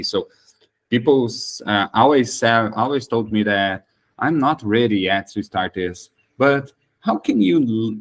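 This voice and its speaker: A man's voice: lowish voice, a bit bright. A Brazilian man whose first language is Portuguese, hosting an English practice chat and speaking hesitantly with many restarts. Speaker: host of an English practice meeting. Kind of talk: casual conversation practice.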